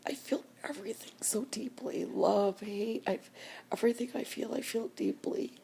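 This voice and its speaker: An older woman whispers through happy tears, unhurried and gravelly. Her voice sits a little high for a woman and often drops to a breathy hush. She speaks to the camera in a personal vlog. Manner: whispering, slow and emotional. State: crying. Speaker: vlogger.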